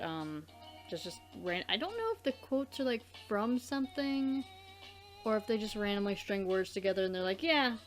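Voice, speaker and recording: Female co-host, average pitch, informal and giggly, rambling conversational delivery. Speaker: let's play co-host. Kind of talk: casual chat.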